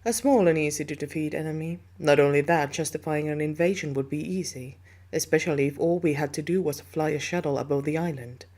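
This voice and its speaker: A commanding alien negotiator from a hive, acted by a woman, in a sci-fi audio drama. She is composed and calculating, with a low voice for a woman and formal, deliberate phrasing. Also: a little mellow. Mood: intrigued, calculating. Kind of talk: scripted sci-fi audio roleplay.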